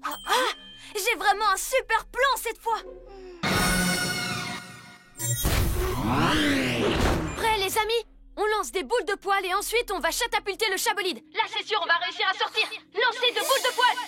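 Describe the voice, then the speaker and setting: A woman's voice: very high-pitched, fairly quick, over loud music. A woman playing an eager, impulsive six-year-old boy superhero in a cartoon, with an emphatic delivery. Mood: excited. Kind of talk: animated cartoon dub acting.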